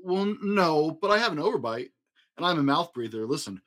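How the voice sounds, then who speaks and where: A man's voice: on the high side, very animated, fairly quick, fairly bright tone. A man voicing a mild, bookish male monster from a children's storybook, formal and stammering, who breathes through his mouth. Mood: formal, eager. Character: Herbert, mild bookish male substitute monster, easily offended.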